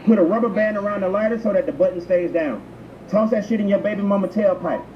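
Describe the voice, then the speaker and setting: A man's voice: high-pitched, quick pace. A man delivering crude comedic mock tutorials in a profane, slangy, instructional manner. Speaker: prank tutorial narrator. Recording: echoey, muffled, noisy intercom playback. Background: public transit ambience.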